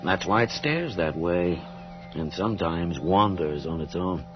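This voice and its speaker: Matter of fact town cop for old radio drama, deep measured voice, dull sound. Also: male voice, lively intonation. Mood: placid, musing. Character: placid, matter-of-fact small-town policeman. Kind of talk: period radio drama acting. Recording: muffled old radio drama, music behind.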